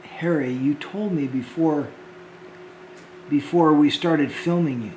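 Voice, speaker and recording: A man hosting a homemade children's show, talking gently and hesitantly to the kids and often repeating words. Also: on the high side, slow, echoey room, a little hiss or hum, poor audio.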